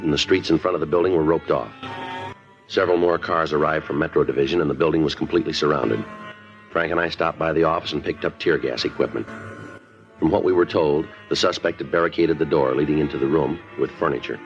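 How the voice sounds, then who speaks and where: A man's voice: a bass voice, steady tone, quick pace, faint music behind. An American man playing a terse, no-nonsense police detective who also narrates, clipped and matter-of-fact, in a 1950s radio crime drama. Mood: flat, businesslike. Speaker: actor. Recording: muffled vintage 1950s radio recording.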